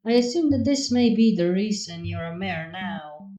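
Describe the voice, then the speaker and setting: A man voicing a regal, composed female pony princess with a formal, measured manner in a fan fiction reading. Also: medium pitch, animated, mellow, reverberant, recorded from a distance, muffled recording, soft background music, lightly acted.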